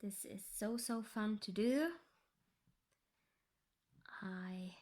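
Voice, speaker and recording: A Norwegian woman, English her second language, chatting softly about her cross-stitch project and often dropping into a whisper. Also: medium pitch. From Norway. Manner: slow, soft-spoken, drifting into whispers. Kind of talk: casual tutorial chat.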